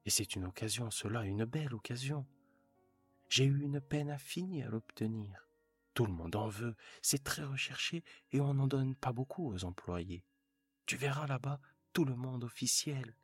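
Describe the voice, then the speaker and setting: A man's voice: mid-range voice, lively intonation, moderate pace, breathy edge, no echo, faint music behind, voice pushed up. A man voicing an anxious, eager husband who is a modest clerk, talking in a raised, pleading way.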